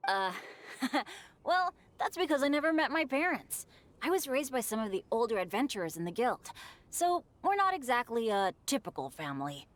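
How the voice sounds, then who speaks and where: A woman voicing an upbeat, kindhearted teenage boy adventurer in a video game, earnest and friendly. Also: fairly high pitch.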